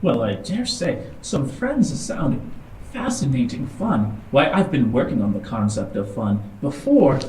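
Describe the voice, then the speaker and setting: A man's voice: mid-range voice, sing-song, measured pace, a little mellow, reverberant, slight background noise, poor audio. A man voicing a chirpy old dragon ship mind in a tabletop game, theatrical and giggly, with old-fashioned polite phrasing. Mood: playful, complaining. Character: chirpy, chatty old dragon ship consciousness, playfully dramatic.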